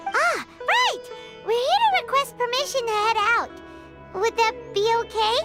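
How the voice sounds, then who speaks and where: A woman voicing a tiny, chatty, childlike fairy companion in a video game, perky and inquisitive with a squeaky voice. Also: lively intonation, measured pace, music in the background. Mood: excited. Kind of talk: video game cartoon voice acting.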